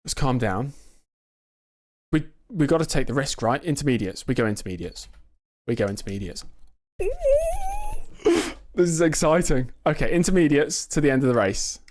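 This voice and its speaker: A young man casually commenting on his own racing game in a live stream, joking and self-mocking, quick to get excited. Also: mid-range voice. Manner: quickly excitable under pressure.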